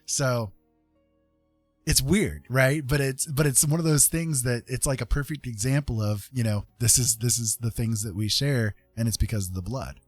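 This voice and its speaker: A man's voice: mid-range voice, moderate pace, no echo, faint music behind. A man chatting casually as a livestream host, rambling conversationally and often checking in with his listeners. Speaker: live streamer host.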